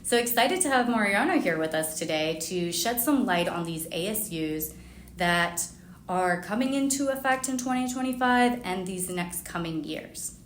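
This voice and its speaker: A woman's voice: medium pitch, lively intonation, normal speed. Woman podcast host in an echoey room. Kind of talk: scripted podcast introduction and interview questions. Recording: roomy echo, faint background noise.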